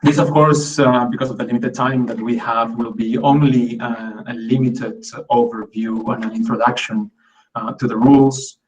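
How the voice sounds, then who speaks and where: A man's voice: mid-range voice, steady tone, normal speed, distant mic, dull audio. A man from Peru giving an online academic talk in English, hesitant with many fillers and restarts. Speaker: guest lecturer.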